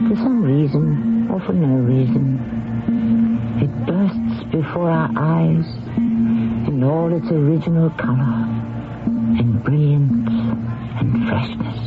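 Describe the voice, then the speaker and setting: A man narrates as host of an old-time radio drama. He is deliberate and theatrical, with a fairly high voice that swings widely in pitch. Music plays behind a thin, narrow broadcast sound.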